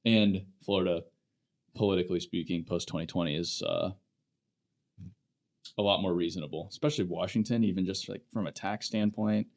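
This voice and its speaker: A man hosting a podcast, chatting casually with quick, self-deprecating asides; he grew up in Phoenix. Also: low voice, fairly even intonation, darkish tone. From Phoenix, Arizona. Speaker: podcast host. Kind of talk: casual chat.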